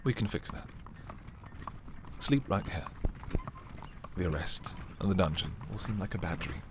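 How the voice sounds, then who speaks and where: Man acting a deep, slow-spoken possessive prince. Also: animated, background noise. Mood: teasing, soft. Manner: slow, deliberate, imperious yet intimate. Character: possessive, commanding young prince, darkly romantic schemer. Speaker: audio roleplay performer. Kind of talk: dark fantasy ASMR roleplay acting.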